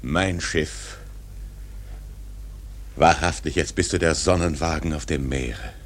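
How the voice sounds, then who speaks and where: An actor playing a proud, defiant old whaling captain in a radio drama, with a grandiose, commanding manner. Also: male voice, rumbling low, slow. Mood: exultant, grand. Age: older. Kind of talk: literary radio drama acting.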